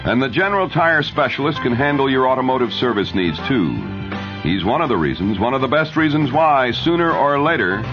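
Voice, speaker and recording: A man reading news and ads as a radio newscaster in a measured 1970s announcer style. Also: mid-range voice, expressive, over music. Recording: dull, lo-fi 1970s radio broadcast recording.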